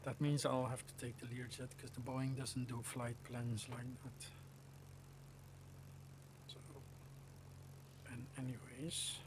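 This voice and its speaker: A man's voice: medium pitch, flat delivery, slow pace, pauses often, noisy background. A man hosting a casual flight simulator livestream, chatting with other players and thinking aloud in a hesitant way.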